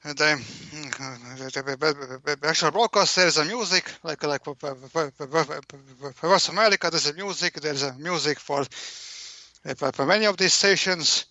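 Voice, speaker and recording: A man explaining as an interview guest, halting and repeating words over and over as he searches for the next one. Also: fairly high pitch, pushed and bright, no room sound. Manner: halting, heavily stammered repetitions of words. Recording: dull, lo-fi call-in audio.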